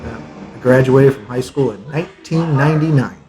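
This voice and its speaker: A man's voice: mid-range voice, brisk, low-quality recording. A man in his forties from Texas, a soldier talking about his life in a casual podcast chat, blunt and jokey, swearing freely. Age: 40s. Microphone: podcast mic.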